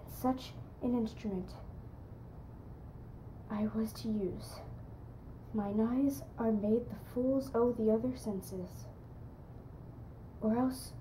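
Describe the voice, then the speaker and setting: A woman acting a haunted, brooding would-be murderer in a hushed, deliberate Shakespearean soliloquy. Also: fairly high pitch, steady tone, slight background noise, poor audio. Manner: hushed, slow, breathy, deliberate.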